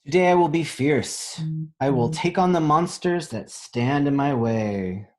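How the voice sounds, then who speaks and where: A man reading a children's picture book aloud as a teacher, pausing to think aloud and explain things simply. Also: a little high, animated, darkish tone. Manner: pauses to pose questions and think aloud.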